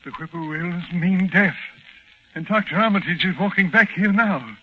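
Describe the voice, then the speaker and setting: An actor playing a cerebral professor bearing witness to horror, in a formal, measured, literary 1940s radio style. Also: male voice, sits high, phone-quality sound, lightly acted. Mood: stunned, relieved. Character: cerebral male professor, a horrified eyewitness reporter. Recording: old radio recording with faint noise.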